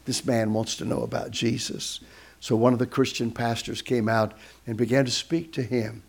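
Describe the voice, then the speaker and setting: A man's voice: mid-range voice, creaky, darkish tone. A man who is a guest speaker, telling a story in a sermon and acting out the voices of the people in it.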